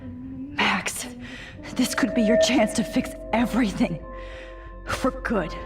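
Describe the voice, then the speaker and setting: A woman's voice: on the high side, takes it slowly, over loud music. An actress playing a defiant, anguished teenage girl student in a video game, speaking in an earnest, emotional way. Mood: hushed, hopeful. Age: young adult.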